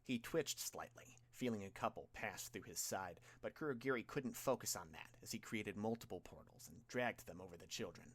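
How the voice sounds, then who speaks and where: A man narrates a piece of fiction in a fairly low voice. He reads from a script as an audiobook narrator, with expressive delivery. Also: normal speed, hardly any pauses, slightly rough.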